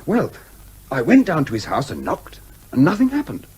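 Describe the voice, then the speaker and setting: An actor playing a dutiful, apologetic young English son in a radio comedy, polite, diffident and hesitant. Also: male voice, a little high, huge pitch swings, moderate pace, darkish tone, husky, exaggerated voice. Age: young adult. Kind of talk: radio comedy drama acting.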